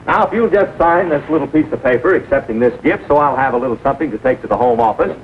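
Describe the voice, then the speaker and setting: A man's voice: mid-range voice, quick pace, lightly acted. An actor playing a pushy, glib traveling salesman in a 1940 film comedy, with slick sales patter. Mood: brisk, smug. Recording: hissy, dull old film soundtrack.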